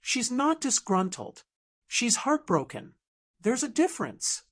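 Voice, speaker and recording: A woman's voice: fairly high pitch, sing-song, measured pace, voice pushed up. A woman voicing a principled, diplomatic event planner in her thirties, earnest and persuasive, in an audiobook drama. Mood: quietly firm.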